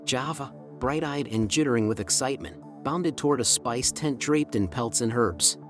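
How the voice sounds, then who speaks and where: A man's voice: medium pitch, moderate pace. A man narrating a scripted fantasy story in a dramatic, ornate storyteller manner. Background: music.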